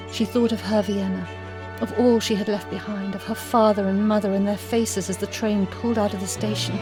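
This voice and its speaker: A woman narrating a literary short story expressively, in measured, evocative phrases with hushed moments. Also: medium pitch. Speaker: story reader.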